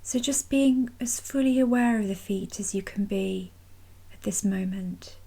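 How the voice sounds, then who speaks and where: A woman guides a meditation in a soft, mellow voice with a breathy, husky edge. She speaks very slowly and gently, leaving long pauses between phrases. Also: mid-range voice. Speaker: mindfulness practice guide. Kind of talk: guided body scan meditation.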